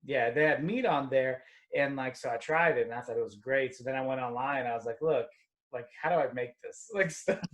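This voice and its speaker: A man's voice: medium pitch, fairly quick. Male host from Russia, easygoing, filler-heavy, mid-range voice on video call. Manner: relaxed, many "like" fillers, laughs easily. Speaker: podcast host. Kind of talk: casual video call interview.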